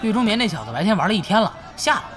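An actor playing an eager, chummy young online gamer in an audio drama, excitable and chatty. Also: male voice, high voice, rapid-fire, a faint crowd.

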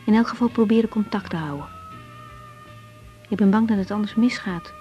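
An actress playing a caring, reflective young woman doctor in a radio drama, gentle and slightly hesitant. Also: medium pitch, brisk, highs cut off, faint music behind, close to an everyday voice. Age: young adult. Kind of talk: radio drama dialogue and diary-like narration.

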